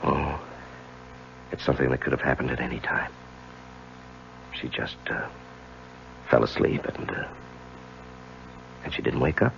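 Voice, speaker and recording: An actor playing a haunted, ambitious middle-aged lawyer with a halting, brooding manner in a radio drama. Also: male voice, extremely low pitch, steady tone, creaky, a bit bright, slight background noise, full character voice. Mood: guarded. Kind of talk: 1970s radio drama acting and narration. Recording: dull old radio recording, music behind.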